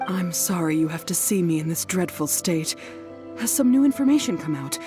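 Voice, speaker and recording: A woman's voice: fairly low pitch, expressive, normal speed, over music, natural voice. A woman playing a worried, weary wife and mother in an anime-style game drama, earnest and confiding. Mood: anxious.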